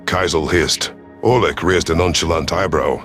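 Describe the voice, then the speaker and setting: Male narrator, low-pitched, for dramatic first-person sci-fi storytelling over faint music. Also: moderate pace, fairly bright tone. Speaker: audiobook narrator.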